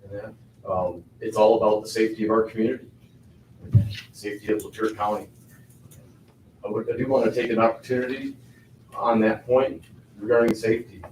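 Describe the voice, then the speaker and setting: Older guy, lowish voice, lots of ums, public comment in an echoey hall, far off mic, bit of hiss. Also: flat delivery, a little mellow. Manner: halting, many fillers and restarts. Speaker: public commenter at a county board meeting. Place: county board meeting room.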